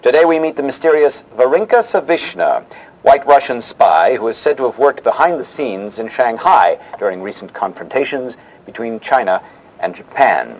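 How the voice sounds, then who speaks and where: A man's voice: medium pitch, lively intonation, darkish tone, lightly acted, rough recording. A man playing a pompous, easily flustered host in a parody of a vintage television interview show, with a mock-dramatic delivery. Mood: grand, announcing. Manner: mock-dramatic announcer delivery, halting and hesitant when questioning.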